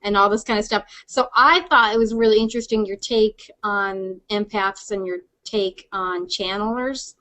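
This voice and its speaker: Woman, podcast host, mid-range pitch, casual chat, inquisitive, rambling delivery. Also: moderate pace, few pauses, bright, forceful tone. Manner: rambling, inquisitive, rephrases questions.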